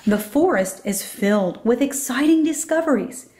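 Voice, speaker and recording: A woman reads a children's picture book aloud. Her voice sits fairly high and has a soft, mellow tone suited to story narration. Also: expressive, moderate pace. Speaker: storybook reader.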